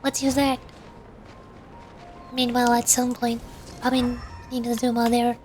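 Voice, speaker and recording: A woman's voice: a little high, level tone, moderate pace, darkish tone. A woman live-streaming a game, chatting and reading dialogue aloud with playful, sarcastic asides. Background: game music.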